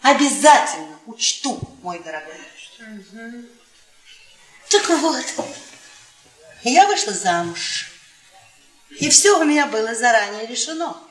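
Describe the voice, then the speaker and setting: An actress playing a scornful middle-aged professor's wife in a radio play, mocking and goading with biting, drawn-out emphasis. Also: female voice, on the high side, very animated, fairly bright tone, faint music behind, heavily acted. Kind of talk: theatrical radio play acting. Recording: echoey room, off-mic radio theatre recording.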